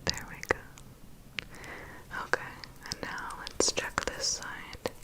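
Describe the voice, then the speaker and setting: A woman performing an ASMR personal-attention roleplay as a vampire's assistant, whispering in a soft, gently attentive and reassuring manner. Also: slow pace. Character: vampire's assistant doing a gentle, methodical medical inspection.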